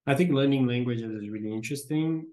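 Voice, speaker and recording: Quick-talking Brazilian man guesting on a podcast. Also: mid-range voice. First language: Portuguese. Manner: quick, fluent, relaxed and self-deprecating. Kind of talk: casual interview conversation.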